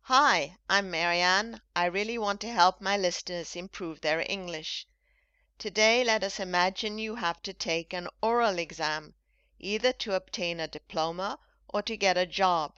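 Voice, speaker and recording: Woman teacher talking super slow and crisp, bright tone, kinda dull dry recording. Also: fairly low pitch, no room sound. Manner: slow, carefully enunciated speech for learners. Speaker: English teacher and podcast host. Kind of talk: scripted language lesson.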